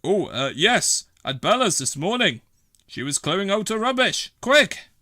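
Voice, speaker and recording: A man's voice: high voice, very animated, unhurried, pushed and bright, no echo, clean studio sound, pitched above the performer's own voice, heavily acted. A man playing an earnest, anxious and kindly fireman in a children's storybook reading. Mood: hurried.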